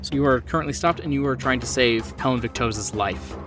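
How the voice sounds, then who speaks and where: A man's voice: mid-range voice, fairly quick, far from the mic. A man working as game master, narrating a horror tabletop game in a descriptive, conversational way. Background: background music.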